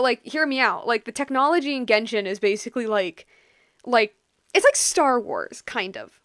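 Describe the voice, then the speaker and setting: A young woman streams a game with a fairly high voice. Her talk is casual, rambling and gushing, with music playing softly behind her. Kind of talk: casual live stream chat over gameplay.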